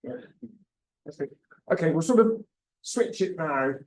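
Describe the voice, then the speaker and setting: A man's voice: on the high side, expressive, a little slow, a little mellow. A man moderating a student panel, asking questions with "sort of" and short acknowledgements.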